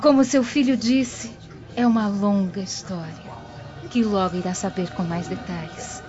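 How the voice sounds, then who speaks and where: A woman's voice: medium pitch, fairly even intonation, unhurried, warm tone, faint music behind, natural voice. A woman playing a tender, polite young noblewoman in a radio drama. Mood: gentle. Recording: dull lo-fi radio drama recording.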